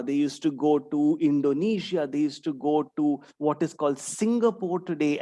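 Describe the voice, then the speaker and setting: A man's voice: a little high, darkish tone. A young man, giving an online talk to young schoolchildren over a video call and ending many sentences with "right?". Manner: tags sentences with a questioning "right?". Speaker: guest speaker for schoolchildren.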